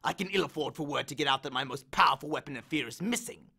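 A man's voice: sits high, sing-song, moderate pace, creaky, sharp tone, husky, full character voice. A man voicing an angry, aggrieved male god of the dead who bellows complaints and threats in an audiobook. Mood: menacing. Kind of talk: audiobook character voice.

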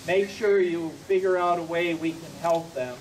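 A man's voice: on the high side, moderate pace. A middle-aged man making a public speech outdoors at a ribbon cutting. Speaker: ceremony speaker. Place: outdoors at a podium before a crowd. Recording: distant outdoor mic, clear crowd noise.

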